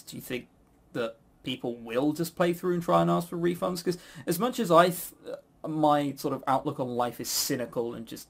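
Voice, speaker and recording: A man's voice: medium pitch, vocal fry. A British man hosting a casual podcast, talking in a rambling, blunt, sweary way with frequent stammered false starts. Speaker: podcast host. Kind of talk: casual opinionated chat.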